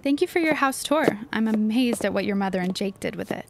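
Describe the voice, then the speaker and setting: A woman's voice: medium pitch, lively intonation, brisk, vocal fry, noisy background. A woman playing a gossipy, scheming teenage schoolgirl with an emphatic, melodramatic manner in a period audio drama. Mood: sociable. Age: teenage character.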